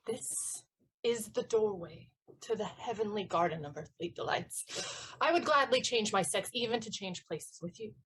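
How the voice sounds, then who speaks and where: A woman's voice: mid-range voice, lively intonation, normal speed, a bit bright, husky, rough recording. A woman playing a lovesick, impetuous teenage page boy in a theatrical period comedy, speaking ardently and insistently in florid lines. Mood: yearning.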